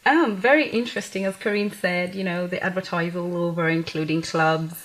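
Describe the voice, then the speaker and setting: A woman's voice: on the low side, brisk, low-quality recording. A young woman from Kenya chatting casually as an interview guest, telling anecdotes and acting out little quotes. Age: young adult. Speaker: interview guest, pageant queen. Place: TV talk show studio, indoors on a sofa.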